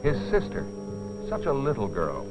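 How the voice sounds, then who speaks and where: A man narrating a dramatized film in a grave, deliberate scripted style with dramatic pauses. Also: medium pitch, wildly swooping pitch. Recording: dull old film soundtrack, music behind. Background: dramatic music.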